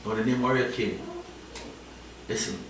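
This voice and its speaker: A man's voice: on the low side, steady tone, moderate pace, creaky. A Jamaican man talking through his story in a rambling, easygoing way, often adding "you know?". His voice sits far from the mic in a very echoey room, with a faint hiss of noise behind it. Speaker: reggae artist guest. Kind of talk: casual interview talk.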